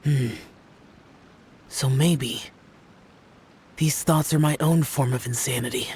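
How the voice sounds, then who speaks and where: A man playing an ancient but youthful warrior in a video game, solemn, guilt-worn and grave, speaking with measured reserve. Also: mid-range voice, darkish tone, slightly rough. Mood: somber, brooding. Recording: music under the voice.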